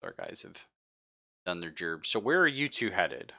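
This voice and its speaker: A man running a tabletop roleplaying game, describing scenes to players in a halting, conversational way. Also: low voice, sing-song, vocal fry, bright, forceful tone. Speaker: game master. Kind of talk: improvised narration of a live tabletop roleplaying game.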